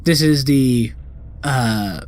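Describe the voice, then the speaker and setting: A man's voice: medium pitch, extremely slow, darkish tone, a little hiss or hum. A man giving casual, rambling, sweary let's play commentary on a video game.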